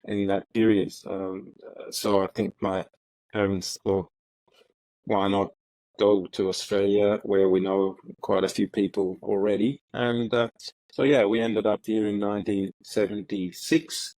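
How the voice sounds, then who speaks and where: Middle-aged man, very low and even voice, halting and reflective, close dry sound. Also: dry recording, rough recording. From Ethiopia. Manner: halting, many fillers and repeated words. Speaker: interview guest, retired prosecutor. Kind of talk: relaxed reflective storytelling.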